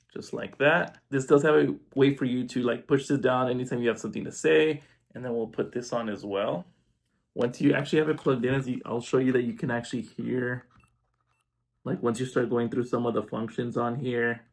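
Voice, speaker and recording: Man, mid-pitched voice, casual product reviewer, informal step-by-step demonstration. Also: a little mellow. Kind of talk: casual unboxing review to camera. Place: indoors at home.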